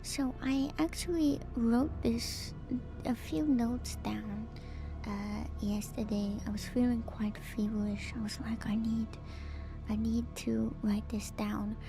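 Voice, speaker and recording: Casting: female ASMR streamer, hushed breathy whisper with creaky edges, musing lore commentary, music behind. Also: on the high side, steady tone, takes it slowly. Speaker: streamer, host of a lore breakdown.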